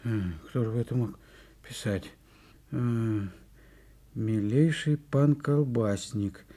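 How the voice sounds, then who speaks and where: An excitable, blustering butcher in a storybook audio play. His voice is mellow and husky, and he speaks in a fussy, emphatic, courteous townsman's way. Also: medium pitch. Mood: puzzled. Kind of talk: children's audio play acting.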